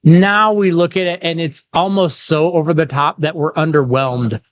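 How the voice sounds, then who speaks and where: A man hosting a casual sports podcast, chatty, with quick asides and leading questions. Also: on the high side, steady tone, no room sound, lo-fi sound. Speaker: podcast host. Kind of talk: casual sports chat and interviews.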